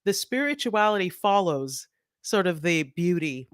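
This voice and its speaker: Middle-aged woman guest, animated and chatty, dry close mic. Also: on the low side, unhurried, no echo. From Trinidad. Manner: animated, many restarts and "right?" tags. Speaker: author guest. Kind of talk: conversational interview.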